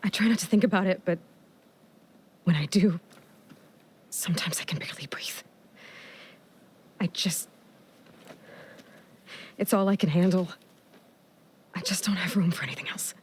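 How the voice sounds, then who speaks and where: An actress playing an earnest, guarded young woman warrior in a video game, speaking with an American accent in a soft, breathy, halting and intimate way. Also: lowish voice, a little hiss or hum, lightly acted. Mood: burdened, vulnerable. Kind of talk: naturalistic video game cutscene acting.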